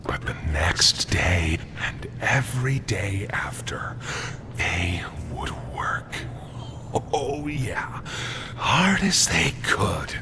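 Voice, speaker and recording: A man voicing a haunted, bitter toy creature in a horror game, with hushed, halting, breathy delivery. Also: deep voice, big pitch swings, over music, full character voice. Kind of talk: dramatic horror game acting.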